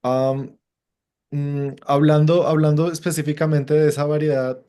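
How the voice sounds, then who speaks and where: A man asking interview questions in a halting way, with many fillers and restarts. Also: fairly high pitch, flat delivery, mellow, smooth.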